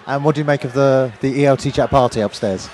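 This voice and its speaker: A man's voice: medium pitch, fast, a little mellow, a faint crowd. A man interviewing attendees at a teaching conference, asking polite, encouraging questions. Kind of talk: conference vox-pop interview. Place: busy conference venue.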